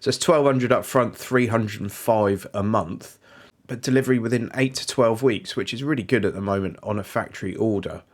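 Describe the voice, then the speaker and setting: A British man with a fairly low voice and a slightly blocked, snuffly nose from a cold. He talks casually and informally, like a channel host, rattling off lease prices and car specs. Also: moderate pace. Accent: British English. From United Kingdom. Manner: chatty, informal, reels off figures. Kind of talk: casual review monologue.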